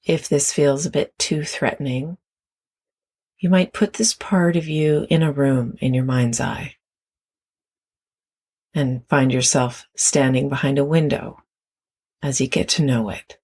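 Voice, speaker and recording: Slow, husky meditation guide with creaky voice. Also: medium pitch, steady tone. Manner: gentle, unhurried instruction with long pauses.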